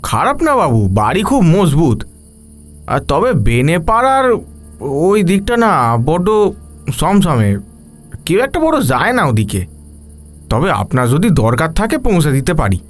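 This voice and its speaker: A man's voice: fairly high pitch, wildly swooping pitch, measured pace, pauses often, soft background music. A man playing an uneasy, deferential village rickshaw puller with rustic, colloquial speech in an audio horror story. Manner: colloquial rustic speech, addresses listener respectfully.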